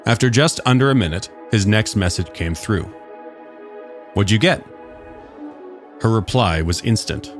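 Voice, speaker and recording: A man's voice: fairly low pitch, lively intonation, moderate pace, hardly any pauses, faint music behind. A man reading a scripted story aloud as its narrator.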